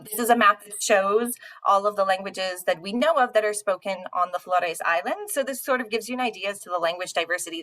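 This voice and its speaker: A woman's voice: mid-range voice, pushed and bright, rough recording. A young adult woman giving an informal academic talk as a linguist, explaining things in a conversational way with frequent asides. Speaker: linguist giving a panel presentation.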